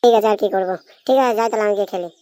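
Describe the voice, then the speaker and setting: Carefree schoolboy for a cartoon, played by a woman: rapid, repetitive, casual delivery in a dry recording. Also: medium pitch, dark tone, no echo, close to an everyday voice. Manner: casual, repetitive, brushing off advice. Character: carefree procrastinating young schoolboy, little brother. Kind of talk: amateur cartoon dialogue.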